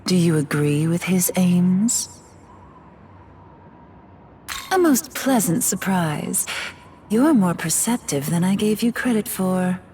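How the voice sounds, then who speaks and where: A woman's voice: on the low side, lively intonation, measured pace, slight background noise. A woman playing a poised, teasing goddess turned demon in a video game, refined and coolly condescending, over music. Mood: teasing curiosity. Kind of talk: dramatic video game voice acting. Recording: loud game music underneath.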